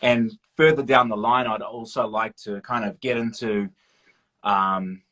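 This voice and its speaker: A man's voice: lowish voice, fairly even intonation, a little slow, bright, forceful tone. A man chatting casually as an interview guest, informal and modest, feeling his way through his answers. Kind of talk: casual chat.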